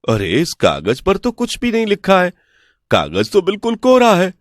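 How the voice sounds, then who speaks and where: A man voicing a gullible, greedy village priest in an animated folk tale, excitable and full of credulous questions. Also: sits high, normal speed, no echo, clean studio sound. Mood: amazed, credulous.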